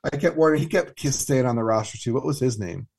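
A man's voice: medium pitch, fast, gravelly, a little mellow, slightly rough. A man chatting casually about sports in a wry, easygoing way.

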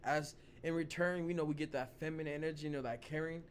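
A man hosting his own vlog, hoarse from losing his voice, rambling loosely in casual talk full of "you know" and "like". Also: a little high, fairly even intonation, fast, a little hiss or hum. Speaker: vlog host. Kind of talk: casual opinion talk to camera.

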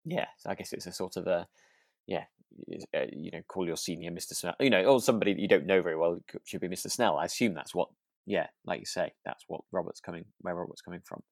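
Man, low pitch, creaky voice, dry sound, relaxed podcast chat, tentative phrasing. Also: fast, no room sound. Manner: hesitant, tentative, hedging. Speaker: podcast co-host. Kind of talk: relaxed chat reviewing a radio drama.

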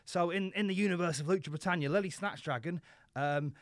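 A man from Yorkshire, a podcast guest chatting in character with deadpan, self-mocking comic asides. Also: high voice, a little fast, no room sound, clean studio sound. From Yorkshire, England. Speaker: podcast guest, wrestler and comedian.

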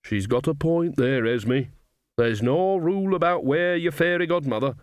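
A man's voice: on the high side, moderate pace, no echo, studio quality, voice pushed up, close to an everyday voice. A man voicing an earthy old woman, a practical, wry witch who talks bluntly and colloquially in an audiobook. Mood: reasoning. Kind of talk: audiobook character voice.

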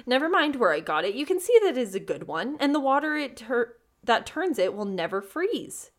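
A woman's voice: fairly high pitch, very animated, fairly quick. A woman reading the part of a poor, secretive husband and brother in a storybook folktale. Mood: evasive. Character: poor, cagey brother who owns a magic mill.

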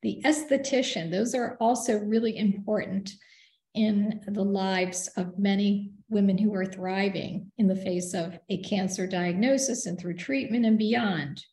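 A woman speaks in a gravelly, mellow tone, losing her voice and clearing her throat often. She talks earnestly and steadily, and her voice rings out in a roomy, echoing space. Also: medium pitch, fairly even intonation, measured pace. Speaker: guest. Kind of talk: conversational interview.

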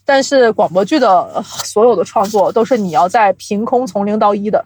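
A woman's voice: mid-range voice, normal speed, a little mellow, husky. A woman speaking as an interview guest, explaining audio production in casual chat and mixing in English terms.

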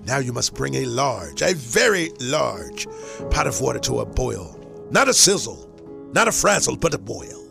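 A man's voice: mid-range voice, very animated, normal speed, vocal fry. A man on a morning radio show giving a mock-dramatic recipe reading, emphatic and repeating words for effect. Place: radio studio. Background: music under the voice.

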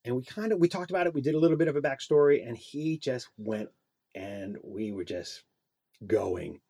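A man's voice: mid-range voice, expressive, normal speed, vocal fry, warm tone, husky, dry recording. A man speaking as a guest puppeteer, telling anecdotes casually in an interview and acting out bits of dialogue.

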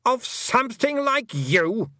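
A man's voice: very high voice, very animated, takes it slowly, bright, forceful tone, no echo, studio quality, pitched above the performer's own voice, heavily acted. A man voicing a haughty fairy Queen in a storybook reading, condescending and falsely sweet, drawing out the words. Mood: mocking. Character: haughty, coldly mocking fairy Queen, grown woman. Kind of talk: audiobook character acting.

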